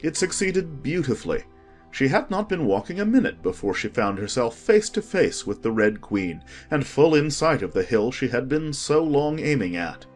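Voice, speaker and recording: Animated storybook narrator over soft music. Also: mid-range voice, moderate pace. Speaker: audiobook narrator.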